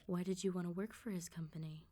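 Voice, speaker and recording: A woman voicing a suave, menacing female vampire mob boss in an ASMR roleplay, politely teasing and threatening with unhurried control. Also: lowish voice, steady tone, mellow, lightly acted. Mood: probing, controlled.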